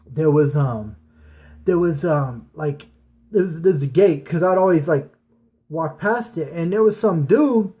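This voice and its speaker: A man with a slightly high voice talks casually to his online audience. He tells stories in a loose, rambling style, full of slang and conversational asides. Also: expressive, unhurried, warm tone, breathy edge. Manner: informal, rambling, slangy, sprinkled with swearing. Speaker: online storyteller, channel host. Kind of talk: casual personal storytelling to viewers.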